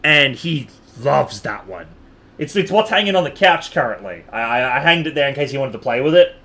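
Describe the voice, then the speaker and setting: Animated, bright-voiced man livestreaming. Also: mid-range voice, fairly quick. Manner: animated, rambling, repeats himself for emphasis. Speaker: game livestreamer. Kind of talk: casual livestream chat and game commentary.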